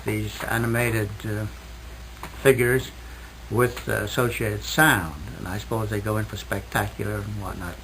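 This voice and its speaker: A man giving a technical explanation as a radio interview guest, speaking in a halting, hesitant way with precise wording. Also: fairly low pitch, steady tone, fairly bright tone. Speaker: interview guest, loudspeaker inventor. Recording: noisy old 1960s radio recording.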